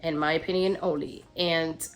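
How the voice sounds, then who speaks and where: Middle-aged woman vlogger, low voice, nasal from a cold, chatty and meandering. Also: fairly even intonation, moderate pace. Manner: rambling, many ums and restarts. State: nasal from a recent flu. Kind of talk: casual chat to camera.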